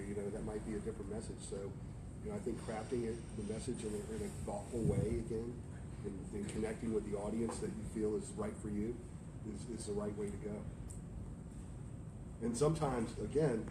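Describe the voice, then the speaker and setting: A hoarse man with a cold, speaking on a panel with many fillers and restarts. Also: lowish voice. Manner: rambling, many "you know" fillers. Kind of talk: panel discussion. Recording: echoey room, distant mic, noisy.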